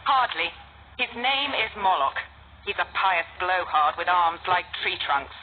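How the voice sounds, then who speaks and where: A woman's voice: on the low side, expressive, measured pace. A woman playing a scornful, defiant young woman in a video game, with a sardonic, biting manner. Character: sharp-tongued, scornful young woman, defiant captive companion. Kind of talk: scripted video game cutscene acting. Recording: tinny phone-like band, noisy.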